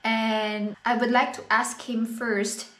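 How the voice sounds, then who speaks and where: Young filipina girl vlogging, slow and soft, highish voice, echoey room with faint noise. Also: little pitch movement. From Philippines. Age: young adult. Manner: slow, gentle, giggles often. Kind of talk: casual chat. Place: small indoor kitchen. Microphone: camera at arm's length.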